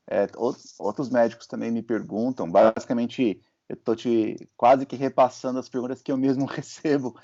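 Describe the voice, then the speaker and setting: A Brazilian man hosts the talk in an easy, conversational way and often checks that the other person is following. His voice sits at a middle pitch. The audio is dull and lo-fi. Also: steady tone, moderate pace, few pauses, mellow. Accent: Brazilian Portuguese. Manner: conversational storytelling, checks in with "né?" and "certo?". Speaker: host and physician. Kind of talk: casual interview chat. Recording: muffled lo-fi audio.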